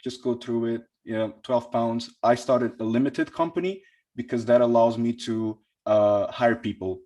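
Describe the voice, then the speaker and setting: A young man from Portugal giving a conference talk about his career, speaking in a hesitant way with lots of pauses and restarts. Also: medium pitch, steady tone, darkish tone. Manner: hesitant, many fillers and restarts.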